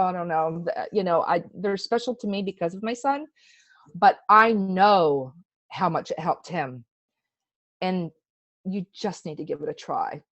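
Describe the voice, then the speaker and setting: A woman's voice: on the low side, dry recording. A middle-aged woman giving a casual, chatty personal testimonial in an earnest, emphatic way. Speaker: testimonial speaker.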